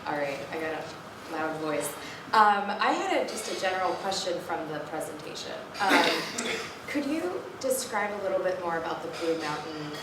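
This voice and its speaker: A woman with a fairly low voice asks a question from the floor, hesitating and pausing as she goes. She is far from the microphone in a very echoey hall. Loud background noise surrounds her. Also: sing-song. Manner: hesitant, with frequent fillers. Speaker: audience member asking a question. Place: conference hall.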